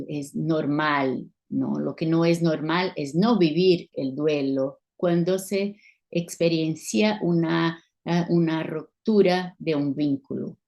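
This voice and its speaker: A woman's voice: low-pitched, creaky, darkish tone. A Brazilian woman with a Portuguese accent, a psychologist guest explaining things thoughtfully and searching for words. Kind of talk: explaining in an interview.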